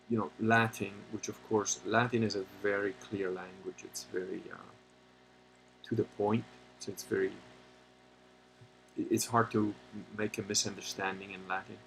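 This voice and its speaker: A man hosting a livestream, talking casually and answering chat questions in a rambling, halting way with many pauses, and sometimes turning blunt and opinionated. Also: lowish voice, vocal fry, a little hiss or hum, poor audio. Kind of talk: casual unscripted monologue.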